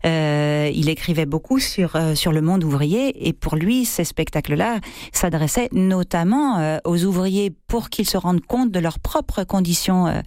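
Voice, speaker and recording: A woman's voice: lowish voice, a little slow, a little mellow. A middle-aged woman from France, an actress, speaks in a radio interview. She searches for her words, filling gaps with hesitations and repeated starts. The studio sound is dry and close, with no room echo. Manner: hesitant, many fillers and restarts. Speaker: actress and theatre co-director.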